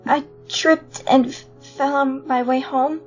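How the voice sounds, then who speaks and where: Woman voicing a high-pitched earnest little skeleton boy. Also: fairly even intonation, slow pace. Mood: evasive, hurt. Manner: earnest, hesitant. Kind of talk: fan comic dub voice acting. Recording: muffled lo-fi audio over music.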